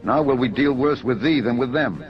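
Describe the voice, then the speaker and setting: A threatening ruffian from an angry ancient mob, performed by a male narrator, pushes his voice well above its natural register with loud, commanding demands. The recording is dull, with clear music underneath. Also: mid-range voice, moderate pace, voice pushed up, close to an everyday voice. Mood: menacing demand. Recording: dull narrow audio over music.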